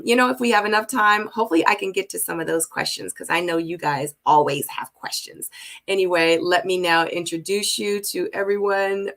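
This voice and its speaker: A woman's voice: lowish voice, creaky, fairly bright tone. Chatty woman hosting an interview. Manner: chatty, calls guest honey. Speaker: host. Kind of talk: live online interview.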